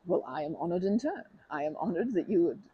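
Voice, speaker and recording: A woman's voice: lowish voice, lively intonation, fast, husky, highs cut off. A woman doing an ASMR roleplay as a friendly stranger who talks to the listener, rambling and hesitant, often restarting and correcting herself in an earnest, chatty way. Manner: friendly and earnest, with light laughs.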